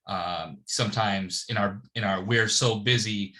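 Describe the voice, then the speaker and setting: A man's voice: fairly low pitch, a little slow, sharp tone. A man hosting an interview, chatting casually and teasing his guest with easy humor. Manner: joking, easygoing. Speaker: host.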